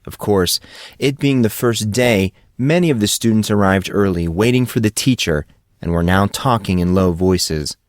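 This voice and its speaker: A man with a fairly low voice narrates a story in a scripted audiobook style. The recording is dry and close, with very little room sound. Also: moderate pace, dry recording.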